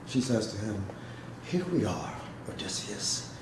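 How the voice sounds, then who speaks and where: A man giving a dramatic literary reading and talk to an audience, speaking in a measured way with pauses. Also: lowish voice, very animated. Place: indoor hall, seated before an audience. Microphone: microphone on a stand, recorded by a distant camera. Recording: echoey room, noisy background.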